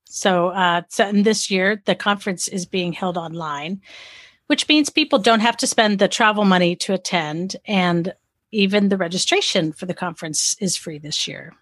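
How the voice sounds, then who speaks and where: A woman's voice: mid-range voice, moderate pace, fairly bright tone, no echo. A woman hosting an interview, friendly and enthusiastic, with short affirming reactions. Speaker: interview host.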